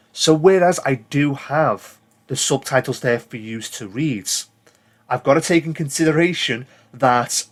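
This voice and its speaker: A British man giving casual, rambling commentary as a gaming video creator, plain-spoken and given to swearing. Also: mid-range voice.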